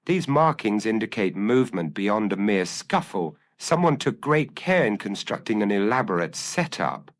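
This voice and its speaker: A man voicing a shrewd, confident gentleman detective in an audio story, speaking precisely and formally. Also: lowish voice, very animated, normal speed, hardly any pauses, pitched above the performer's own voice. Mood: grave, certain.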